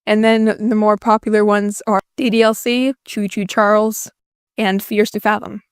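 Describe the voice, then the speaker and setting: A woman's voice: mid-range voice, flat delivery, normal speed, smooth, no room sound. A young woman from Finland, a native Finnish speaker, answering viewer questions in a casual voice-over with dry, self-deprecating jokes. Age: young adult. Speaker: YouTuber.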